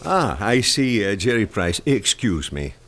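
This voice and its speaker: An actor playing a genial Irish-American barkeeper in a radio drama, with an exclamatory way of talking. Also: male voice, fairly low pitch, big pitch swings, unhurried, a bit bright, full character voice. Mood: genial, welcoming.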